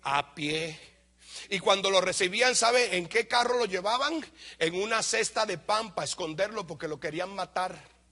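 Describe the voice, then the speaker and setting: High, bright-voiced Dominican preacher. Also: male voice, normal speed. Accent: Dominican Spanish. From Dominican Republic. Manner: animated, shouts for emphasis, then drops to a low aside; asks questions and answers them himself. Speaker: pastor. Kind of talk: lively sermon to a congregation.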